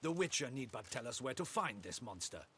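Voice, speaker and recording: An actor playing a proud, blustering captain of the guard in a video game, with a formal, pompous and scornful manner. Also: male voice, medium pitch, big pitch swings, fairly quick, a bit bright, slight background noise. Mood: boastful, indignant. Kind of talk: video game cutscene acting.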